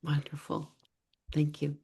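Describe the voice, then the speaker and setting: A woman's voice: deep voice, completely flat delivery, takes it slowly, vocal fry, mellow, husky. A woman hosting an online storytelling gathering, introducing each speaker in a rambling, enthusiastic way. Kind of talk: warm introductions on a video call. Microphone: video call.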